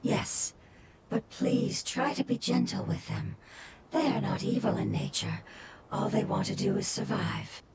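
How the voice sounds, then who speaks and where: A woman voicing a gentle, solemn jellyfish-like water spirit in a video game, in a breathy, measured, earnest way. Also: mid-range voice, expressive, very noisy. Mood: earnest, pleading. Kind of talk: scripted video game dialogue. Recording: loud music under the voice.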